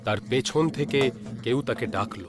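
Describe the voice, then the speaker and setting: A man narrating a scripted horror story in a measured, dramatic storytelling manner. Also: medium pitch, loud music behind the voice.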